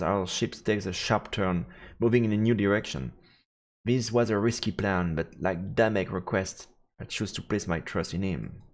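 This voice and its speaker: A man narrating a fantasy visual novel aloud as a streamer, in a dramatic storybook style. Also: lowish voice. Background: faint game music.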